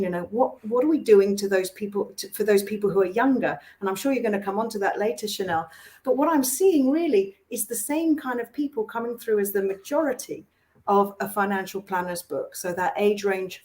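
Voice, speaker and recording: A woman's voice: medium pitch, brisk. A woman speaking as a panelist in a panel discussion, talking emphatically and often repeating herself as she makes a point. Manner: animated, emphatic with self-repeats.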